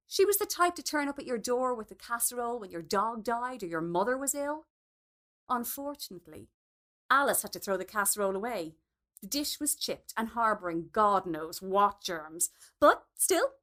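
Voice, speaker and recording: A woman narrates a literary short story in a high voice. Her reading is expressive, with pitch that moves widely from phrase to phrase. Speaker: story reader.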